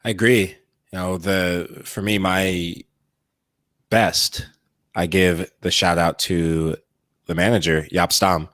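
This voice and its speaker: A Midwestern American man with a very low voice talks soccer as a former player. He is emphatic and keen. The sound is dry. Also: steady tone, slow pace, pauses often, fairly bright tone, no room sound. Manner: enthusiastic, emphatic. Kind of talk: casual sports talk.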